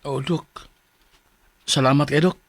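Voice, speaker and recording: A man's voice: fairly high pitch, fairly even intonation, takes it slowly, creaky, fairly bright tone, breathy edge, full character voice. An actor with a Cebuano accent playing an earnest, ailing 45-year-old husband who tells his troubles in a Philippine radio drama. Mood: subdued. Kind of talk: Philippine radio drama acting and letter narration. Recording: music bed under voice.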